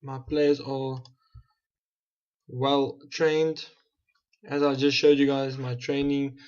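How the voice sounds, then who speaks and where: Flat-voiced South African man. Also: on the high side, dull audio. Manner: thinking aloud, restarts and self-corrections. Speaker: gaming channel host. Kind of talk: casual gameplay commentary.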